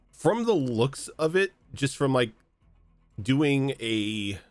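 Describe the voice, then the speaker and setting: Low-voiced man narrating as game master. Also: no room sound. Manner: hesitant, full of fillers and restarts. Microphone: online voice call.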